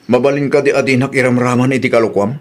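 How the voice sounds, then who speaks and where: A man's voice: mid-range voice, steady tone, quick pace, lightly acted. Stern, curt Ilocano widower, acted by a man. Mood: firm, reproachful. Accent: Filipino, Ilocano. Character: stern, exasperated widowed father, protective and blunt. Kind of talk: Ilocano radio-style drama acting.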